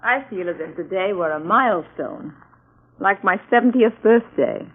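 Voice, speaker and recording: A woman's voice: mid-range voice, lively intonation, normal speed, husky. An actress playing a tired, wry American wife and mother in a mid-century radio drama. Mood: weary. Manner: wry, weary. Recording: tinny band-limited old radio broadcast, music behind.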